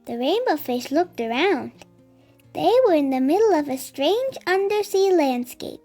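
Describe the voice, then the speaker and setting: A woman reading a children's story aloud in an animated, deliberate storytelling manner. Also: high voice, faint music behind. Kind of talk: expressive read-aloud narration for children.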